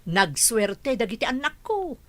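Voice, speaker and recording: A woman's voice: on the high side, very animated, unhurried, a bit bright, no room sound. A woman acting a boastful older village mother in a radio drama, with an Ilocano Filipino accent.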